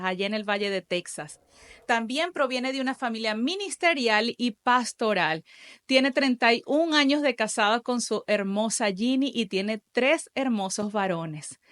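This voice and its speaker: A woman with a slightly raised pitch hosts an interview podcast. She opens with a prepared introduction, then moves into easy conversation, prompting her guest with brisk follow-up questions. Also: a bit bright. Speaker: podcast host.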